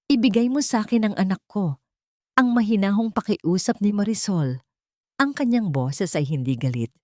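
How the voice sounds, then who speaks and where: Low-pitched woman narrating a dramatic story. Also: a little mellow, no room sound, clean studio sound.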